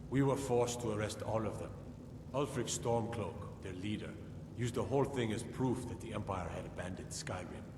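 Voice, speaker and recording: An actor playing a proud, impatient lord in a fantasy video game, speaking formally and curtly. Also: male voice, fairly low pitch, moderate pace, close to an everyday voice. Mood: stern, grave. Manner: authoritative, curt, formal. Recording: echoing hall, distant, noisy game capture.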